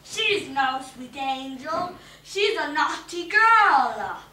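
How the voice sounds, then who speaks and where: A woman's voice: high-pitched, lively intonation, takes it slowly, pushed and bright, full character voice. Woman playing a shrieking gritty old witch. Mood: scolding. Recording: echoey room, distant mic, noisy.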